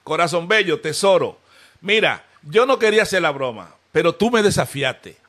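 A man's voice: on the high side, expressive, normal speed, fairly bright tone. A man with a Dominican accent, a prank call comedian, talking teasingly on the phone.

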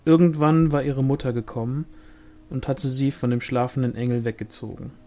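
Man narrating a novel, mid-pitched voice. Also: steady tone, normal speed, warm tone, soft background music. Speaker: audiobook reader.

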